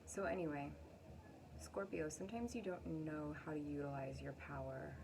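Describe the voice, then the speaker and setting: A woman with a lowish voice talks casually as an astrologer giving a card reading. She is effusive and affirming, wandering into chatty asides. Steady, noticeable noise sits behind her voice. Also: normal speed. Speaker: astrologer and tarot reader, channel host.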